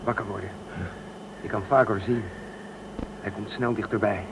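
Man as a low-voiced practical space crewman. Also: fairly even intonation, moderate pace, natural voice. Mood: urgent, resourceful. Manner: plain, practical. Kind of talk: radio drama acting. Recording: clearly noisy background.

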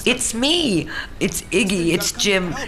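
A woman's voice: medium pitch, big pitch swings, slow pace. An older woman telling anecdotes casually in an interview, slipping in English words. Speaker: eyewitness interviewee. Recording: interview with music behind.

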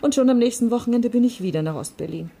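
A woman's voice: on the high side, expressive, quick pace, vocal fry, mellow, husky, full character voice. An actress playing a wistful, passionate woman confiding memories of a past love in a radio drama. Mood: fond, animated. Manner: confiding, reflective.

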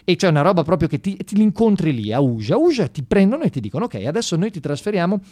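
A man's voice: sits high, very animated, rapid-fire, dark tone. A man delivering an informal satirical monologue on radio, ironic and mock-enthusiastic, talking straight to his listeners in colloquial language. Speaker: radio monologue performer and storyteller. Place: radio studio.

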